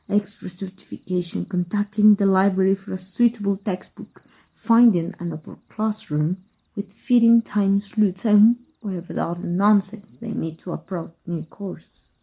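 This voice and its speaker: A woman playing an exiled evil magic teacher in an ASMR roleplay, wry and fond, speaking softly and intimately with a husky, breathy voice. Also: mid-range voice, low-quality recording. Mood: dryly sarcastic. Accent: Mexican. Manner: wry, unhurried, intimate, addressing a former student.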